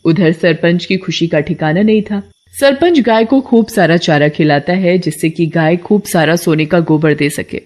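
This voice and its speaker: A woman's voice: fairly low pitch, moderate pace, darkish tone. A woman narrator reading a scripted cartoon folktale in a storytelling style.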